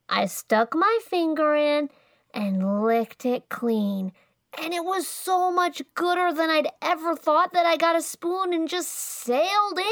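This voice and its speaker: A woman voicing a mischievous small boy who talks in a drawn-out, wheedling way. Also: extremely high pitch, no echo, studio quality, voice pushed up, exaggerated voice. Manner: drawn-out, wheedling child talk. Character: mischievous small boy, guilty but cheeky.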